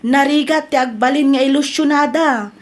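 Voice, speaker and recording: A woman's voice: sits high, normal speed, natural voice. A woman with an Ilocano accent voicing a sensible female friend who teases and chides in an audio drama. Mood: teasing.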